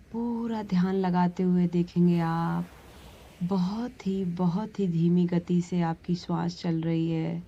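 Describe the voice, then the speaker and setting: Slow, low-voiced woman guiding meditation. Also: muffled recording. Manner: soothing, gently instructing, long pauses. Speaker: yoga nidra meditation instructor. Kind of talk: slow guided relaxation meditation.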